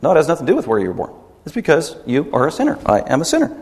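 A man's voice: fairly high pitch, huge pitch swings, rapid-fire, a little mellow, long reverb tail. A man preaching a sermon as pastor, emphatic and rebuking, driving points home by repeating them.

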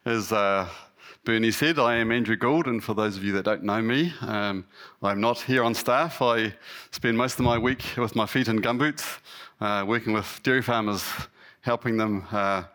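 The stand-in preacher at a New Zealand church on a Sunday morning, a local man with a slightly husky, lowish voice and a local accent, chatting to the congregation with easy, self-mocking informality. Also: moderate pace, fairly bright tone. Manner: informal, self-deprecating, lightly humorous. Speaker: lay guest preacher. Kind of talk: conversational sermon to a congregation.

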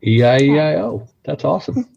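A man's voice: mid-range voice, fairly quick, gravelly, darkish tone. A man from Missouri with a slight Missouri accent, chatting in a friendly, easygoing way as an actor being interviewed. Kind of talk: casual interview chat. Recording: dull lo-fi sound.

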